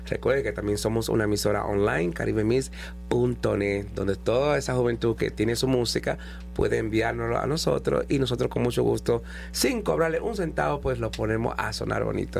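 A man's voice: medium pitch, creaky. A man hosting a podcast and radio interview, chatty and drawn out in his questions, recorded in a radio studio. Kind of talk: casual interview chat. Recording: radio studio with faint music.

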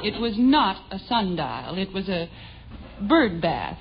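A woman's voice: medium pitch, big pitch swings, takes it slowly, vocal fry, highs cut off, over a noisy crowd, very noisy, heavily acted. A woman playing a sensible, stubborn mother in a 1950s radio sitcom, firm and indignant but affectionate, on an old broadcast recording. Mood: defensive. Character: sensible, stubborn suburban mother and housewife, defensive yet affectionate.

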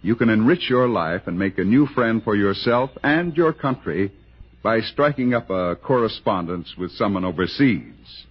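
A muffled old radio broadcast with an American male announcer. His voice is husky and mid-pitched, and he delivers the script with animated intonation. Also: moderate pace.

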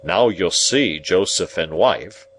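A man's voice: low-pitched, expressive, takes it slowly, a bit bright, a little hiss or hum, heavily acted. A man playing a pompous, self-important older uncle, emphatic and patronising, in an audiobook. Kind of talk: audiobook character voice.

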